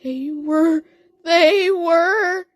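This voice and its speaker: Woman as a panicky high-voiced cartoon critter. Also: very slow, dry recording, studio quality, soft background music, heavily acted. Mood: panicked, insistent. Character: frightened, scandalised cartoon rat-cat tattletale.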